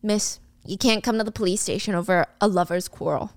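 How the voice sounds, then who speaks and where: A woman's voice: mid-range voice, steady tone, brisk, voice pushed down, close to an everyday voice. A woman voicing a weary, dismissive police officer with a patronizing manner in a story retelling.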